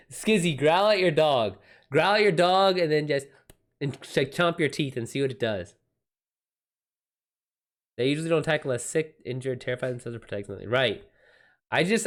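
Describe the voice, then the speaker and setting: A man chats casually as a live streamer. His pitch sits in an ordinary middle range, and he talks in an informal, slangy way with animated reactions. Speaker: live streamer and author answering viewer questions. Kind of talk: casual livestream chat.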